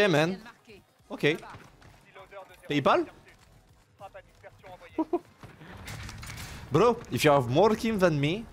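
A young French man with a French accent, chatting excitedly while streaming a game, mixing French and broken English. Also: high voice. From France. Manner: animated, shouty, mixes French and broken English. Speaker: gaming streamer. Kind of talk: excited gameplay chat with a stranger. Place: home gaming room. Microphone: headset mic.

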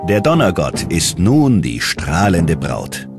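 A man's voice: sits low, huge pitch swings, slow pace, loud music behind the voice. A man reading scripted documentary narration in a dramatic storytelling manner.

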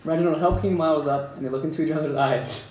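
A man's voice: fairly high pitch, steady tone, fast, a little mellow, lo-fi sound. A man reading stage directions as the narrator at a script table read. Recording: echoey room, distant mic, faint noise.

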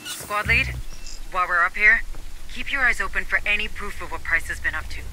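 An actor playing a pragmatic administrator turned activist who guides marines in a video game, earnest and commanding. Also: male voice, high voice, fairly quick, bright, forceful tone, a little hiss or hum, poor audio. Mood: determined. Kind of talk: video game cutscene and mission dialogue acting. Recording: game music behind the voice.

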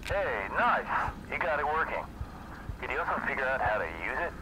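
An actor voicing a gruff, dutiful male space scavenger in a video game, blunt and bossy but earnest. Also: medium pitch, sing-song, moderate pace, noisy background, natural voice. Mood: impressed.

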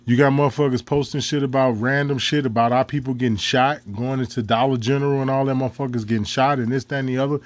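A man's voice: mid-range voice, steady tone, quick pace, few pauses. Angry ranting man in his forties. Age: 40s. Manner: profane, heated, laughs mid-rant. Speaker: commentator.

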